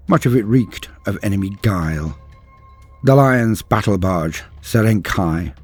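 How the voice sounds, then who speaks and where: Male narrator, low and raspy, solemn dramatic storytelling from a script, dry sound with music underneath. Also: darkish tone, no room sound. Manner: grave, measured, theatrical storytelling. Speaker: narrator of a fan lore video series. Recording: music behind the voice.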